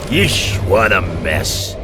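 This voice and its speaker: An actor playing a tough, sardonic mobster antihero in a video game. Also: male voice, low-pitched, big pitch swings, drawn-out words, heavily acted. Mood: weary, wry. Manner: sarcastic, slangy wisecracking. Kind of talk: video game cutscene acting. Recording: loud music behind the voice. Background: loud game music.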